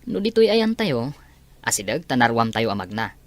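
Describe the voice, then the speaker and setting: A man's voice: medium pitch, very animated, moderate pace, no room sound. An actor playing a casual, talkative young male family friend in a Philippine radio drama. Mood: explaining, casual.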